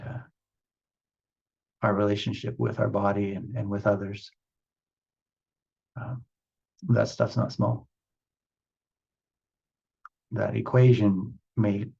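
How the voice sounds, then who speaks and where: A low-voiced man speaks as a spiritual teacher in a reflective meditation session. His voice is mellow and a little husky, and he moves through ideas in deliberate, halting phrases, pausing for emphasis. The recording is somewhat dull.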